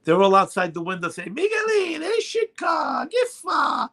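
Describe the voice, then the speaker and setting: A man's voice: extremely high pitch, huge pitch swings, normal speed, creaky, sharp tone. A man in his sixties with a New York accent, hosting a radio chat show in a rambling, chatty way. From Brooklyn, New York. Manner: rambling, chatty, many fillers. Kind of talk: casual chat.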